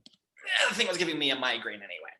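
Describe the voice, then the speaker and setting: A flippant, exasperated woman, as a man plays her in a script read aloud. The delivery is argumentative and offhand, with a bright tone and swooping pitch. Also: wildly swooping pitch, fast talker, exaggerated voice. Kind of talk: at-home script table read.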